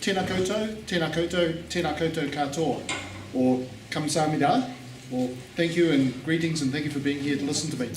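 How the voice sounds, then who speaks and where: A man giving a relaxed conference talk, repeating words for emphasis, with pauses for an interpreter. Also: fairly high pitch. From New Zealand. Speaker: guest speaker. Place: conference hall. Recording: echoey hall, distant mic, faint noise.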